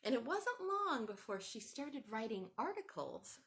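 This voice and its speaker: Animated woman reading a picture book to kids. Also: on the high side, normal speed. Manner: animated, sing-song teacher voice, asks children questions. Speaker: children's storytime host. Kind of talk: reading a picture book aloud to young children.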